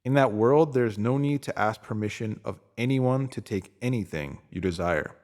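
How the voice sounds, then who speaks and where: A man reading a scripted motivational spiritual piece in a deliberate, emphatic manner, pausing to give phrases weight. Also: mid-range voice, a little mellow. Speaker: narrator reading a spiritual self-help post.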